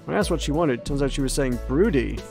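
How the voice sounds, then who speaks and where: A man's voice: mid-range voice, expressive, fast talker, gravelly, over music. A man voicing a cheerful, sappy older shopkeeper in a visual novel, upbeat and folksy. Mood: friendly.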